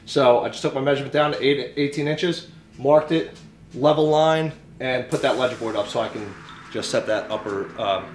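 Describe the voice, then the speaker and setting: A man's voice: mid-range voice, moderate pace, slight background noise. Casual man explaining DIY work. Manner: explanatory, step-by-step. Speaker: host, DIY home renovator. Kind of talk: casual vlog talk while working. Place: indoors, kitchen under renovation. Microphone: handheld camera at arm's length.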